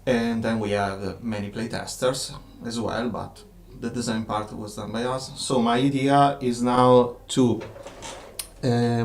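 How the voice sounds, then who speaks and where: A man with an Italian accent hosting a casual livestream Q&A as a game designer, talking in a halting way with many filler pauses and sounding a little tired. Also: medium pitch, a little hiss or hum.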